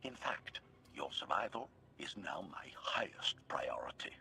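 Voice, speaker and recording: An actor playing a servile combat droid in a video game, speaking in formal, clipped announcements with a robotic filter and cool menace. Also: male voice, extremely low pitch, sing-song, unhurried, vocal fry, pushed and bright, in a whisper, full character voice. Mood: formal, obedient. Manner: announces each statement type, formal and clipped. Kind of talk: video game character acting.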